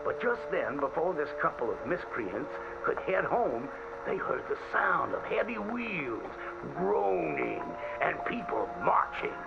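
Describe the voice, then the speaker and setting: Old-timey folksy storyteller man, swoopy dramatic delivery, muffled radio sound w loud music. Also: on the high side, big pitch swings, slow, creaky, heavily acted. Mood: hushed, suspenseful. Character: folksy male storyteller spinning a spooky cautionary ghost tale. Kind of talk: theatrical radio-style storytelling.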